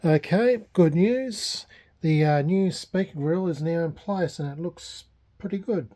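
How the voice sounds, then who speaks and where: Australian male hobbyist vlogger, relaxed dark tone, hesitant and unscripted delivery. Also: on the high side. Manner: halting, hesitant, unscripted delivery with many pauses. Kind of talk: casual restoration progress vlog.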